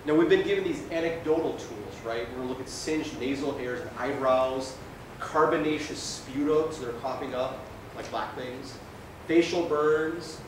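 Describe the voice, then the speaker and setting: A male doctor giving an informal medical lecture in a conversational way, often checking in with his listeners. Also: normal speed. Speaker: emergency physician lecturing. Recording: very echoey room, distant mic, noisy rumble.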